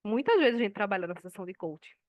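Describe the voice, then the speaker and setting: A woman's voice: medium pitch, animated, fast, dry recording, clean studio sound. A woman teaching a class in a conversational way, asking her students questions and acting out quoted dialogue. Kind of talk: live online class lecture.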